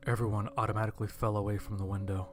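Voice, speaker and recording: A man's voice: on the low side, little pitch movement, racing, soft background music. A man narrating a scripted first-person horror-comedy story in a dry, wry manner.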